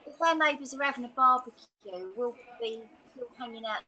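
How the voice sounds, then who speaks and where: A woman on a video call chatting casually as a webinar participant, soft-spoken and sitting back from her microphone. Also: fairly high pitch, normal speed, highs cut off, a little hiss or hum. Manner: soft-spoken, drifting from the mic. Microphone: far from a computer microphone.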